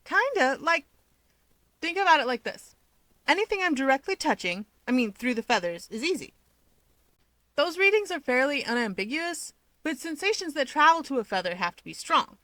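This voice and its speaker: A woman's voice: sits high, very animated, normal speed, a bit bright, dry recording, voice pushed up. A woman voicing an upbeat, self-assured young male hero with wings, explaining things chattily in an audio story. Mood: earnest, explaining. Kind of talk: fan fiction audiobook character dialogue.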